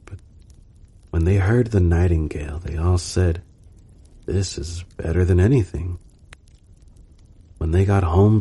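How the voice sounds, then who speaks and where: A man narrating a bedtime storybook in a calm, soothing and unhurried manner. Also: low-pitched, husky.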